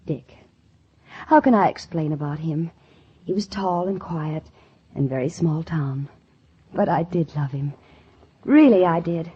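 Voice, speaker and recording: A woman's voice: low voice, measured pace, long pauses, husky. An actress playing an earnest, ambitious young country woman in a 1940s radio drama, speaking in a dramatic, wistful and emphatic way. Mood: wistful, dreamy. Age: young adult. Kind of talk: 1940s radio drama acting and first-person narration. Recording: muffled old 1940s radio recording.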